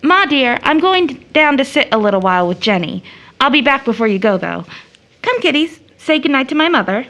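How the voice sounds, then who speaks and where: A young woman in an audio drama, high-strung and full of affection for children. Her voice is fairly high and very bright, with big lively swings in pitch. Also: brisk, full character voice. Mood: doting. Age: young adult.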